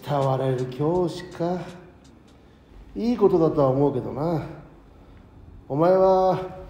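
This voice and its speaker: A man acting an ageing, modest schoolteacher in an audio drama, speaking as a reflective, principled and gently frank mentor. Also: a little high. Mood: reflective, earnest. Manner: reflective, measured mentor talk. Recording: echoey hall reverb, music underneath.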